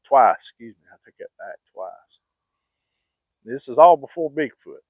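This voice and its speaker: A man's voice: mid-range voice, big pitch swings, a little slow, dry recording. Folksy man spinning a yarn. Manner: rambling, folksy, many fillers and restarts. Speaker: channel host telling hunting stories. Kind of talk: casual storytelling. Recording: dry, phone-like sound.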